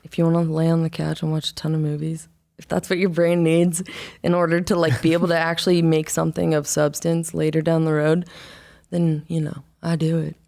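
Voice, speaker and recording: A young woman, an artist, talking casually in an interview, rambling and halting with many fillers and restarts. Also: deep voice, completely flat delivery, vocal fry, warm tone. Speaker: album artist, interview guest. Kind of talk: casual conversation.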